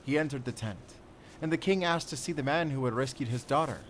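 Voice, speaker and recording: A man's voice: mid-range voice, natural voice. Man acting a solemn werewolf hunter, noisy ambient backdrop. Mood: solemn, wistful. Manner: measured, earnest, dramatic storytelling. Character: male werewolf hunter and spy, solemn storyteller. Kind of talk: cinematic audio roleplay acting.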